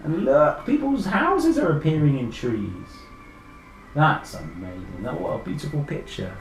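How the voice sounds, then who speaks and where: A British man reading a picture book aloud to children, enthusiastic and expressive, chatting directly to his young listeners. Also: fairly high pitch, unhurried, gravelly. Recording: echoey room with faint background noise.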